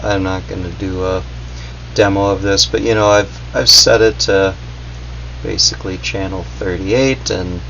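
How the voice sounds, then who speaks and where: A man's voice: deep voice, steady tone, a little slow, gravelly, sharp tone. A man giving a casual, unscripted gadget review in a hesitant, meandering, noncommittal way. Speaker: product reviewer. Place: indoors in a basement, at a workbench. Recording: dull audio, faint background hum.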